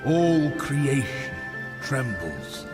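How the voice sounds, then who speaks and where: A weary ancient maker, slow and solemn. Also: male voice, medium pitch, very animated. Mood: grave. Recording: game cutscene with reverb and music.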